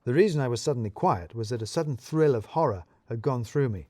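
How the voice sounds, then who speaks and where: A man reads first-person fiction as its narrator. His delivery is wry and deadpan, at an average male pitch. The recording is dry, with hardly any room sound. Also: fast talker, no echo.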